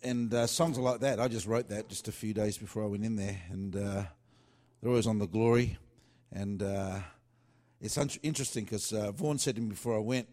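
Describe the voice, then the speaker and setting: A man with a fairly low voice talks about his music in a relaxed, unscripted way. He rambles, trails off and starts over, filling gaps with "uh". It sounds like a worship singer-songwriter chatting to listeners. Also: fairly quick. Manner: loose and chatty, with many fillers and restarts. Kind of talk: casual rambling talk.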